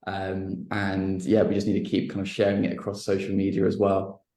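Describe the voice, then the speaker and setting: A filmmaker explaining his project in a relaxed way, answering questions on a panel. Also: deep voice, moderate pace. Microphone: video call. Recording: roomy echo on a video call.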